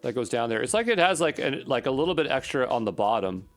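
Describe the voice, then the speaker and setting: A man's voice: medium pitch, very animated, quick pace, faint music behind. A man talking informally to himself as he works through code in a casual screencast, muttering as he troubleshoots. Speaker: programmer recording a coding session. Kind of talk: casual think-aloud screencast narration.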